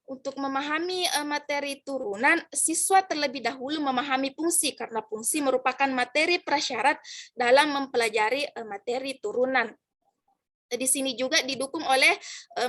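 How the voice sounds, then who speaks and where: A woman's voice: high voice, fairly bright tone. A young woman student giving a class presentation, reading formulas and definitions from slides with many hesitations and fillers.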